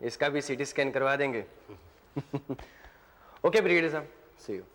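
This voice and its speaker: An actor playing a calm, jovial doctor who reassures a family, teases gently and slips English phrases into his Hindi. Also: medium pitch, slow pace. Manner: reassuring, lightly teasing, mixes English phrases. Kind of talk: 1990s Hindi film acting. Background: film score music.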